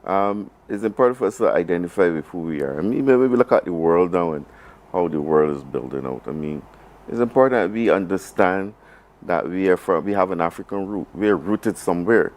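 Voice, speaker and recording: A man with a Barbadian accent answering as an interview guest, speaking haltingly and repeating phrases for emphasis. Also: sits low, darkish tone. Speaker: government program advisor, interview guest. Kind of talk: live broadcast interview.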